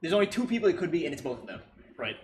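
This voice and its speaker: A man's voice: a little high, big pitch swings, racing, slight background noise. A man in a casual, opinionated discussion, chuckling often as he talks. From Philippines. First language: Filipino background, speaks with a non-Filipino accent. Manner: chuckles often while talking. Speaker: co-host of a ranking discussion. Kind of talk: casual chat, opinionated debate.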